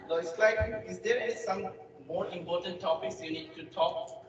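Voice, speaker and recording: A man's voice: high-pitched, moderate pace, over music. Distant man drowned in heavy echo. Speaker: questioner at a student event. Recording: very echoey, distant, noisy, muffled audio.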